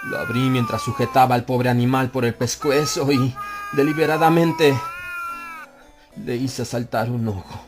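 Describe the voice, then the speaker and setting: A man narrating a dark literary tale as an audiobook, in a grave, measured first-person delivery. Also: medium pitch, fairly even intonation. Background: soft music and ambient effects.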